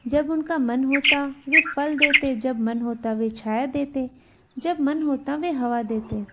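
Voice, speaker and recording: A woman's voice: sits high, takes it slowly, very noisy. A woman telling a scripted children's story as a storybook narrator.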